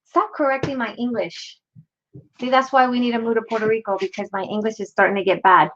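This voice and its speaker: A woman's voice: fairly high pitch, fairly even intonation, fast talker, fairly bright tone. A middle-aged woman hosting a live craft tutorial, chatting casually and slipping into Spanish phrases. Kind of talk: casual live-stream chat while crafting. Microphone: desk mic over an overhead craft camera. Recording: slightly dull audio with faint hiss.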